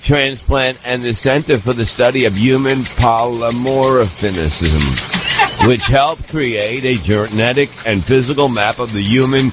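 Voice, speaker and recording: A man's voice: medium pitch, measured pace, noisy background. A man reading a comedic mock newscast as the anchor, stumbling over words and mispronouncing them. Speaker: comedy show news reader. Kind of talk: mock newscast read aloud.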